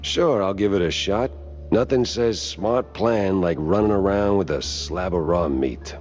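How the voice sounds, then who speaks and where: A man's voice: deep voice, a little slow, darkish tone, lo-fi sound, faint music behind. A man playing a weary, hardboiled mouse private detective in a noir cartoon game, dry and sardonic.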